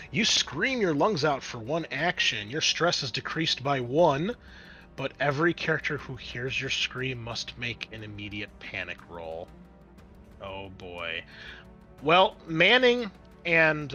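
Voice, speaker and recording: A man acting as game master, narrating the action and explaining rules to players in an animated way. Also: medium pitch. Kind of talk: narrating and refereeing a tabletop roleplaying game. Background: background music.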